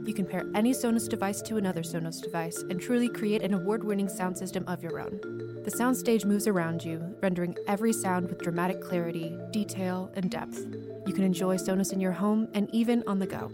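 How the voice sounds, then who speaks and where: A woman delivers a scripted podcast sponsor read. Her voice has a medium pitch, with music clearly playing behind her.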